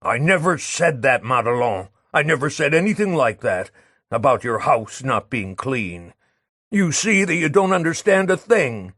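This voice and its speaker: A man voicing a bitter, disgusted man who rants against love, shouting and scornful. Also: medium pitch, sing-song, measured pace, no echo, studio quality. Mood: placating.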